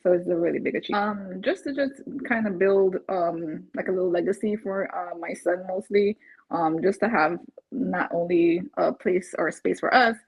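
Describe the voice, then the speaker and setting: A woman from Barbados with a husky voice, talking casually and chattily, as in a testimonial interview. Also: on the low side, moderate pace, darkish tone, no room sound. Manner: chatty, laughs often while talking. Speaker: interviewed client, first-time home buyer. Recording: dull, muffled lo-fi audio.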